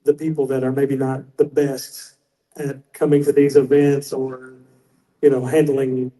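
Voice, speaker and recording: Man with a dark, mellow voice. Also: mid-range voice, level tone, unhurried, poor audio. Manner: rambling, conversational. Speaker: panel moderator, former radio host. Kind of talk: interview questions.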